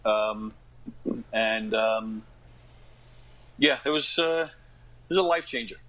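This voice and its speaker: An American man in his fifties talking casually as an interview guest, in a rambling, self-effacing, animated way. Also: fairly low pitch, slow, low-quality recording. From United States. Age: 50s. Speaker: guest, actor and comedy dinner theater director.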